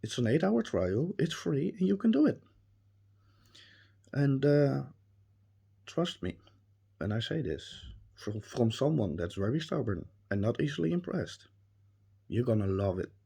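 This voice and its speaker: A man with a Dutch accent, a native Dutch speaker, giving a hesitant, earnest spoken testimonial with many pauses and restarts. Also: medium pitch, very animated, creaky, mellow, breathy edge. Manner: earnest and emphatic. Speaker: reviewer.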